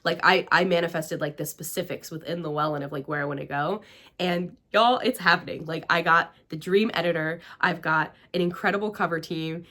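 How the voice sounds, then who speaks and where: A woman's voice: on the low side, fairly bright tone. A woman with an American accent, hosting her own show and talking through her year in a chatty, gushing way with many fillers. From Greece and the United States. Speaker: podcast host and priestess. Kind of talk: casual personal recap talk.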